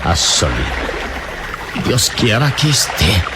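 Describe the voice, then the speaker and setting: Very deep-voiced heroic adventurer. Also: male voice, very animated. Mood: grave, resolute. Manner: measured, formal, courteous speech. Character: calm heroic adult male adventurer, courteous and resolute. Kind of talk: Latin American radio drama acting. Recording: loud water and wind ambience behind.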